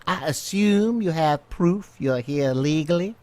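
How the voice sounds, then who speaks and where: A man's voice: fairly high pitch, animated, a little slow, darkish tone. An actor playing a smug, bullying Southern lawman in a video game cutscene, taunting and mock-polite. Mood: commanding, suspicious. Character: menacing, smug Southern American lawman, male, middle-aged.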